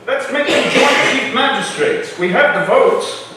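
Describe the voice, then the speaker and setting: Guy acting scheming pompous colonial politician, high voice all up and down, echoey stage. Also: expressive, measured pace, very noisy. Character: scheming, accusatory colonial councilman. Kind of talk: stage drama reading. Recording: very echoey hall, distant mic.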